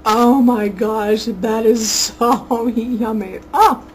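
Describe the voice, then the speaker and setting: A woman's voice: a little high, extremely slow, a little hiss or hum. A woman, around her forties, gushing enthusiastically as she reviews a local outing for her webcam vlog, recorded indoors at home on a built-in mic. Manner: gushing and enthusiastic, exclaims over things. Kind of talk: casual chatty review to webcam. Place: small room at home, indoors. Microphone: built-in webcam mic at close range.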